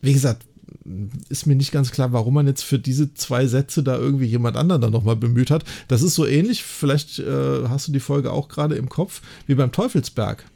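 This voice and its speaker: A man's voice: medium pitch, fairly quick, creaky, warm tone. A man in casual conversation as a podcast co-host, analysing an audio drama and retelling scenes in detail.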